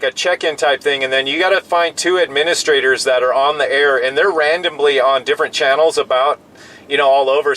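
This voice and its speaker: A man's voice: mid-range voice, fairly bright tone, rough recording. An American man in his fifties chatting casually as a CB radio operator, explaining things in an easygoing, rambling way. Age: 50s. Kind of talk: casual two-way radio chat. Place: in a pickup truck. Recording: in-vehicle recording with clear background noise.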